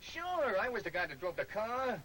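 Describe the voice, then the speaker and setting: A man's voice: sits high, sing-song, normal speed, bright, forceful tone, full character voice, poor audio. A man playing a nervous, slangy small-time crook pleading his innocence in a 1940s movie serial. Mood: anxious, pleading. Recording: old film soundtrack with faint hiss.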